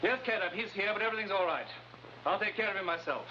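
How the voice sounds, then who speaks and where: A smug, genteel ringleader talks briskly in a fairly high male voice. He is suave and condescending in 1950s TV style, on a muffled, noisy old soundtrack. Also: bright, forceful tone. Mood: smoothly confident. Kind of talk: 1950s television adventure acting.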